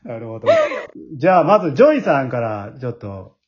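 A man's voice: mid-range voice, big pitch swings, fairly quick. A man with a Kansai accent hosting a casual after-talk, chatty and polite, often chiming in agreeably. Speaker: host of a radio drama after-talk. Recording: dull, muffled lo-fi sound.

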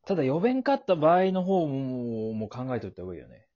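Male co-host, playful teasing banter, lively intonation, slightly muffled sound. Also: mid-range voice, fast. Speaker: podcast co-host in a male comedy duo. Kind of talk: casual comedic banter.

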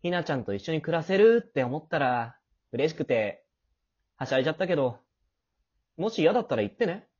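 A sweet, sensitive teenage boy, voiced by a woman in an amateur audio drama. The voice is lowish and husky, with tender, coaxing lines that move around a lot in pitch. The recording is a little dull and homemade. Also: very animated, a little fast, long pauses, darkish tone, exaggerated voice. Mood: hurt, hesitant. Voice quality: breathy. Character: sweet, clingy, sensitive teenage boy, grown-up childhood friend.

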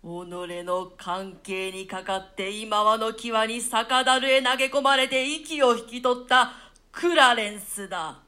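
A woman voicing the ghost of a drowned duke in a radio play reading, speaking slowly and deliberately. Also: fairly even intonation, sharp tone. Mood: grim. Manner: slow, low, deliberate delivery. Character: ghost of a drowned male duke, grim and cursing.

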